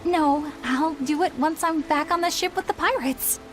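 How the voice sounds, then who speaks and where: Uma atriz interpretando uma jovem exorcista sincera, educada e formal. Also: female voice, high voice, moderate pace, fairly bright tone, faint music behind. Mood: earnest. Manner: polite, formal, earnest. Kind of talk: game dub acting.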